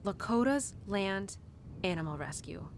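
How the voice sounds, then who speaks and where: A woman's voice: medium pitch, expressive, measured pace, slight background noise. A woman playing a young, animal-loving cowgirl who confides tenderly in her horse in an intimate, casual, rambling audio roleplay. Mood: dreamy, excited. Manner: casual, rambling, sighing and giggly.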